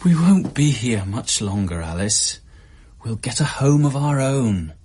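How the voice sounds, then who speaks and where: A man's voice: medium pitch, expressive, a little slow, a little mellow, a little hiss or hum, natural voice. An actor playing an earnest, devoted young man in a radio drama, hesitant and concerned in manner. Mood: concerned, tender.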